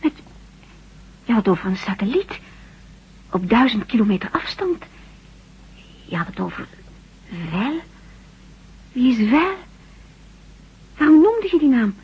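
An actress playing a caring, anxious wife in a radio drama, speaking soothingly and with concern. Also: female voice, fairly high pitch, very animated, a little slow, long pauses, breathy edge, exaggerated voice. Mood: worried. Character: worried, caring wife of an amnesiac former astronaut. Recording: dull, lo-fi radio play recording.

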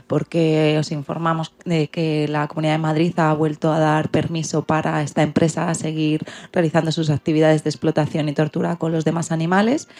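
A woman's voice: low voice, darkish tone. A woman with a Spain Spanish accent hosting a radio show in a loosely scripted, somewhat hesitant way.

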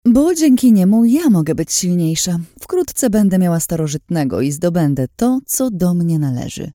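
Woman acting a high-pitched, sarcastic scheming villainess. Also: big pitch swings, normal speed, mellow, no room sound, clean studio sound. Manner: mocking, theatrical. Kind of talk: dramatised audiobook narration.

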